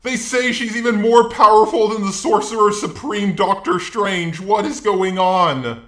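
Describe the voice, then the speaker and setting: Echoey, high-pitched robotic man's voice. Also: a little slow, a bit bright. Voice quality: synthetic robot voice. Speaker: video essayist. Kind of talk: scripted opinionated commentary.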